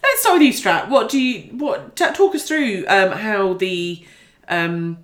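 A podcast host chatting casually and guiding the discussion in a hesitant, halting way. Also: mid-range voice, a bit bright.

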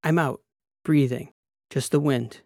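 A man voicing a strained, defiant female lieutenant in an audiobook, answering in halting, clipped phrases. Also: on the high side, fairly even intonation, a little mellow, no room sound, clean studio sound, voice pushed up. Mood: dazed, clipped. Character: strained, defiant female army lieutenant recalling combat drop.

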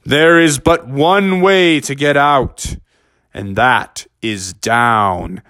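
A booming, mysterious voice from deep in a cave, handing out riddles in slow, weighty pronouncements, as a man puts it on. The tone is drawn out and grave, made for a bedtime storybook. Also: sharp tone, no echo, studio quality. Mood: solemn.

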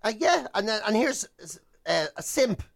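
Irish male radio co-host, high-pitched, self-mocking and joking, dry close-mic sound. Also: very animated, measured pace, gravelly, no room sound. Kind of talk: casual comic radio banter.

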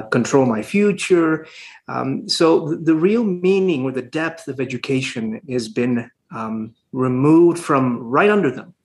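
A man from Honduras, an educator speaking as an interview guest in a thoughtful, measured way with frequent hesitations. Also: fairly high pitch. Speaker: classical school educator and guest. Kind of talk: conversational interview answers.

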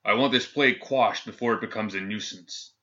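A man's voice: lowish voice, brisk, sharp tone, lo-fi sound. A man doing a cold, sadistic governor. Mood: cool impatient. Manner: cool, clipped, menacing. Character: cold sadistic male governor general, calm.

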